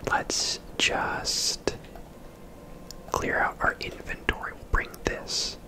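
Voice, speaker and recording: A man giving casual, unhurried ASMR game commentary in a conversational whisper as he plays. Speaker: ASMR gaming host.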